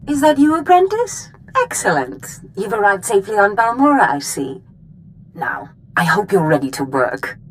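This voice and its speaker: A woman playing a commanding, scheming Sith master in a video game cutscene, speaking with deliberate authority. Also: mid-range voice, sing-song, slight background noise, lightly acted, low-quality recording. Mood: pleased, wry. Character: commanding, scheming female Sith master briefing her apprentice.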